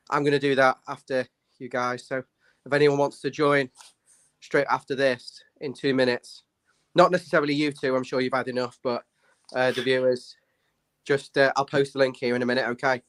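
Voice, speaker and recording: A man's voice: mid-range voice, flat delivery, moderate pace, fairly bright tone. A man, a fan channel host, talking bluntly and heatedly and swearing freely. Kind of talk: announcement and fan rant.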